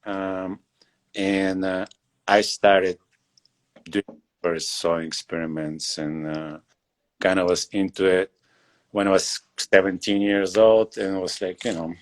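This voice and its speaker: Man, forties, from Bulgaria, English, deep voice, halting with lots of fillers, video call. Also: level tone. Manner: hesitant, many fillers and pauses. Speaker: guest leatherworker and shoemaker. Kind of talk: casual interview over video call.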